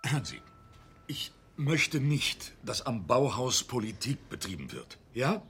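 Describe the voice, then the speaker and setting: An actor playing a stern, discreet art school director in a radio drama, formal and authoritative. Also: male voice, mid-range voice, big pitch swings, unhurried, gravelly, a bit bright, a little hiss or hum, full character voice.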